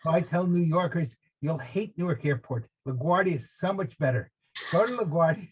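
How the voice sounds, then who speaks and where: Older man, New Jersey accent, husky, jokey storyteller, lo-fi call audio. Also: fairly high pitch, expressive, a little fast. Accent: New Jersey, softened by Texas. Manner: jokey storyteller, repeats yes and yeah. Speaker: co-host. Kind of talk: casual chat.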